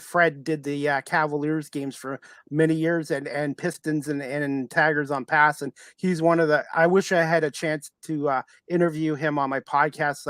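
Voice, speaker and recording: A man with a fairly high voice interviews a guest on a casual sports show. He speaks politely and haltingly, and the sound is dry and close. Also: fairly bright tone, no room sound. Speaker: podcast interviewer.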